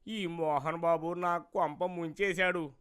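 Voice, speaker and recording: A man's voice: fairly high pitch, fairly even intonation, slow pace, a bit bright, clear, resonant tone. A man voicing a greedy, wheedling village vegetable seller in a cartoon moral story, with a plaintive manner. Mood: panicked, pleading. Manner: rustic, colloquial, plaintive way of talking.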